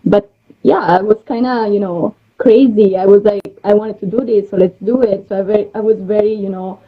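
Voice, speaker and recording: A woman's voice: mid-range voice, fairly even intonation, a little fast, breathy edge, highs cut off. A young woman raised in Italy, a native Italian speaker, speaking English as a guest in a friendly, easygoing video-call interview. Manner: friendly, easygoing, laughs often.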